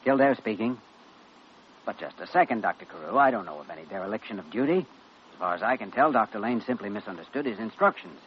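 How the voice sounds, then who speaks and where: A man acting an earnest, principled young doctor in an old-time radio drama, measured, firm and sincere. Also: on the low side, lively intonation, close to an everyday voice. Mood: firm, courteous. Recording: muffled, noisy vintage radio recording.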